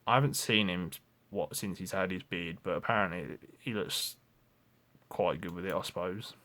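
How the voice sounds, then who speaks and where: A man's voice: low voice, normal speed, bright, forceful tone. A man, talking frankly and ramblingly in a confessional vlog, with lots of restarts and swearing. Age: 20s. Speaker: vlogger.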